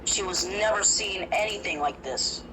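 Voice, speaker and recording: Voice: mid-range voice, moderate pace. A narrator telling a first-person horror story, hesitant and prone to restarts. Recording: noisy recording.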